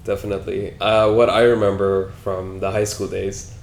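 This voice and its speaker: A man's voice: deep voice, little pitch movement, moderate pace, creaky, slight background noise. A young man in his twenties, a podcast guest, chatting in an easygoing, curious way with casual slang. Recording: echoey room.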